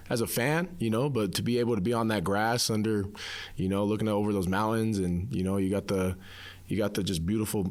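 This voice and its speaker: A young man, a college football player, answering casually in a post-practice interview, repeating himself with many "you knows". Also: on the low side. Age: young adult.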